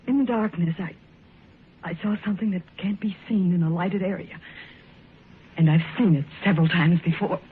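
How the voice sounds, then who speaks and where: An actress playing Elaine, a frightened young woman in a 1950s radio drama, telling her story in an anxious, halting way. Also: lowish voice, lightly acted. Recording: tinny old radio broadcast, faint hiss.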